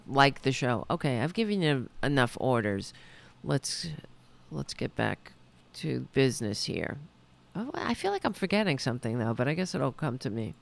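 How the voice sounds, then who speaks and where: A woman hosting her own liberal talk show, chatting loosely and correcting herself as she goes, a little hoarse. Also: low-pitched. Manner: rambling, self-correcting, chatty. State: losing her voice. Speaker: podcast host. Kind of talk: casual political talk show.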